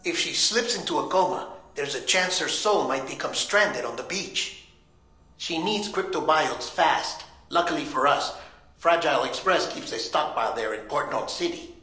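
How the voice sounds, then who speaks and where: A man's voice: a little high, expressive, moderate pace, bright, forceful tone, faint music behind, rough recording. An actor playing an earnest male ally who reasons aloud and gives urgent instructions in a game cutscene. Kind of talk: video game cutscene acting.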